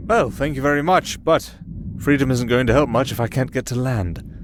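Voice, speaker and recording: A man's voice: medium pitch, moderate pace, natural voice. An actor playing a wry, melancholy castaway sailor and singer in an ASMR roleplay, hesitant and dryly sardonic in his chatty talk. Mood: wry relief. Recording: clearly audible ambient noise under voice.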